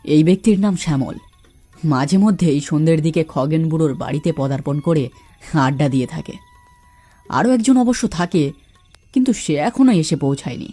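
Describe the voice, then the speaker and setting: Animated, mellow male story narrator. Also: on the high side, brisk, faint music behind.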